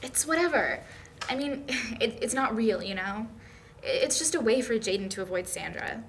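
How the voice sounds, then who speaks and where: Female actor as bashful young student, high animated voice, hesitant chatty dialogue, slightly noisy room. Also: moderate pace. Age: young adult. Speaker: actor at a script table read. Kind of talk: casual dialogue read aloud from a script.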